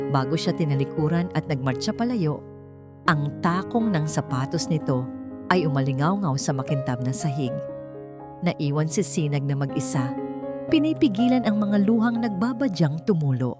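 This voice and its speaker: A woman's voice: low voice, darkish tone. A woman reading a scripted dramatic story as its narrator. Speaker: narrator of a Tagalog love story.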